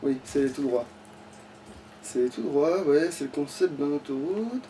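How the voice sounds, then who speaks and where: Casting: male let's player, high-pitched, exasperated and foul-mouthed, casual running commentary, recorded off-mic. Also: expressive, unhurried, mellow. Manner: sweary, exasperated, rambling to himself. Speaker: let's play gamer. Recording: distant mic with light background noise.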